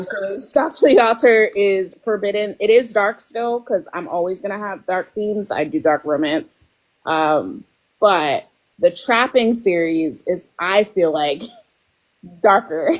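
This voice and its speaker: A woman's voice: mid-range voice, poor audio. Chatty woman author on a podcast. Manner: chatty, laughs often, lots of "like" and "you know". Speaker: guest author. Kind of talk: casual podcast chat.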